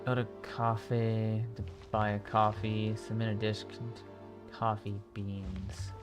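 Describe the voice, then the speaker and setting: Slow, drawling man streaming a game. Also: fairly low pitch, flat delivery. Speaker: game livestreamer. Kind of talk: casual live reaction to a video game. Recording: game music behind the voice.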